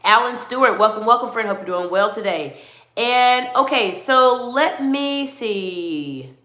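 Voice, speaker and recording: A middle-aged American woman coaching leaders in a livestream, animated and greeting viewers by name. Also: a little high, unhurried, roomy sound. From United States. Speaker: executive coach. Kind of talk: livestream talk with chat.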